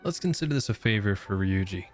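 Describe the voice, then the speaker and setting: A man's voice: on the low side, expressive, quick pace, music in the background. A man chatting casually and jokingly as he reacts to a game, with slangy asides. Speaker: gaming streamer.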